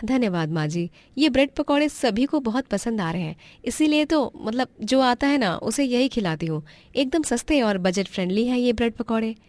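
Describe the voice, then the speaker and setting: A woman voicing a kind, resourceful young married woman in a cartoon story, polite and expressive. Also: fairly high pitch, moderate pace, no room sound, pitched above the performer's own voice, close to an everyday voice. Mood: cheerful.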